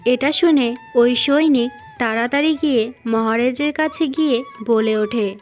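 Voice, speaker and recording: A woman's voice: on the high side, a little slow, faint music behind. A woman with an Indian Bengali accent narrating a scripted fairy tale. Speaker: storyteller narrator.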